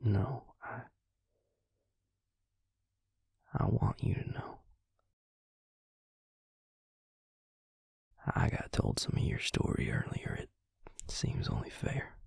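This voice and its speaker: Intimate audio roleplay: male actor as protective, regretful vampire man, hushed whisper, heavy rasping fry, halting confessional delivery. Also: fairly low pitch, wildly swooping pitch, no echo, clean studio sound, heavily acted. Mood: confiding, solemn. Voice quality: breathy, gravelly whisper. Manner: slow, halting, confiding.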